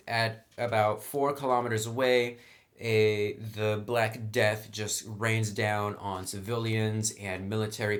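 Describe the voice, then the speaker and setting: A man giving a casual, explanatory review as a tabletop game reviewer, with some enthusiasm. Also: lowish voice. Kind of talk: casual product review talk.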